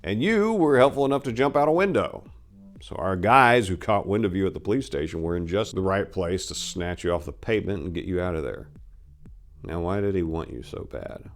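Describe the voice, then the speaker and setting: A man's voice: deep voice, animated, brisk. A man voicing a domineering, explosive younger crime boss in a crime story, commanding and profane as he interrogates. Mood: pressing, skeptical.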